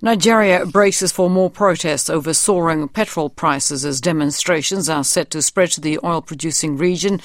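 Higher-pitched male radio newsreader. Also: moderate pace, few pauses, dry recording, studio quality. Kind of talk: scripted news report.

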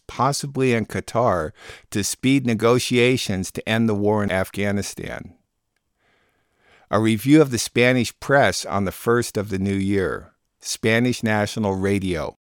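A man with a fairly low voice presents a radio programme from a script. He announces and summarises news in a very dry recording with little room sound. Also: dry recording. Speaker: radio show host.

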